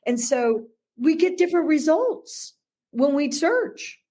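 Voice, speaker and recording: A woman co-hosting a discussion show, talking in long, animated run-on thoughts. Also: high-pitched, slow, soft background music. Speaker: podcast co-host. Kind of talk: casual discussion.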